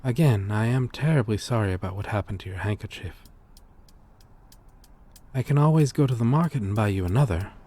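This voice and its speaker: A man playing a courteous, lonely vampire in an ASMR roleplay, speaking softly and formally with hesitant pauses. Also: fairly low pitch, lively intonation. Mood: courteous, fond. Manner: soft-spoken, formal, polite, hesitant pauses. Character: gentle, courteous old-souled male vampire, lonely former fisherman. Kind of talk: ASMR roleplay audio drama.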